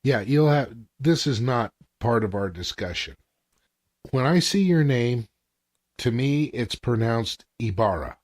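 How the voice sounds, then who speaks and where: A man's voice: medium pitch, expressive, measured pace, no echo, clean studio sound. A man co-hosting a fan podcast, casual, agreeable and easygoing. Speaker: podcast co-host. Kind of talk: casual chat.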